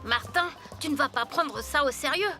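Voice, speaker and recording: Very high-pitched cartoon boy sidekick. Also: expressive, normal speed. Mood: reassuring. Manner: colloquial, exclamatory. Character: excitable, loyal schoolboy best friend. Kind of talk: French-language children's cartoon dub. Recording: music bed behind the voice.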